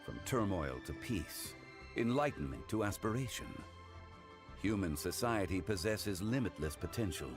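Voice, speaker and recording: A man acts out a composed, scholarly video game gentleman with a low voice. His speech is courteous, formal and unhurried, yet it rises and falls expressively. Faint music plays underneath. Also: lively intonation, close to an everyday voice. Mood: calm, reflective. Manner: formal, measured, eloquent, unhurried. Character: composed, learned, courteous man of immortal wisdom, consultant. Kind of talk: video game character dialogue.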